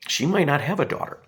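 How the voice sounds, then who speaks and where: A man in his fifties, hoarse from a cold, giving a halting, earnest commentary with many pauses and fillers. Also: fairly high pitch, a bit bright. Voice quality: gravelly and husky. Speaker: response video maker. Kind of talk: casual spoken commentary.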